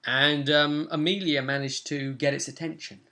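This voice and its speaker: A man's voice: a little high, little pitch movement, moderate pace, sharp tone. A young man, the host and game master, casually recapping a tabletop role-playing session from a room at home. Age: young adult. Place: small room at home, indoors.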